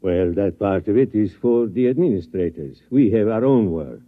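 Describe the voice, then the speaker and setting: On a narrow, vintage radio recording, a male actor plays a chief scientist with a very low voice. He sounds urbane and courteous, with a cool, wry edge suited to a 1950s science-fiction radio play. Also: lively intonation, moderate pace, lightly acted. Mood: genial. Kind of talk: 1950s radio drama acting. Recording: thin band-limited vintage radio recording.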